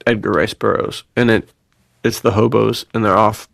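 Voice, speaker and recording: A man with a fairly low, creaky voice, recorded close and dry. He chats casually like a podcast host among friends, telling stories in loose slang and acting out little bits of dialogue. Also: normal speed, dry recording. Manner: slangy, animated storytelling with quoted dialogue and frequent laughter. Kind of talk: casual chat, telling personal anecdotes.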